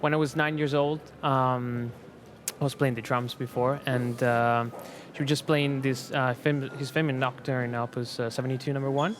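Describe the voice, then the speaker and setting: A man's voice: medium pitch, fairly even intonation, normal speed, noisy background. A man in his early twenties from Italy, speaking hesitantly with frequent ums and laughs on a panel. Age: 20s. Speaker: competition pianist guest. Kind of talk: panel talk.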